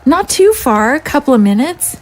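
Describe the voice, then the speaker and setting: A woman's voice: sits high, very animated, normal speed, darkish tone. A woman playing a worried, faltering young girl in an audio drama. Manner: hesitant, faltering. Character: hesitant, worried young girl guarding zombie prisoners.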